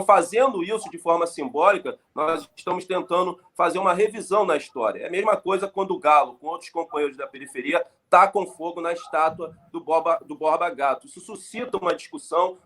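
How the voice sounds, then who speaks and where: A man's voice: on the high side, a bit bright. A man from Brazil, an activist guest on a live political stream, speaking with passion and repeating his key points like a rally speech.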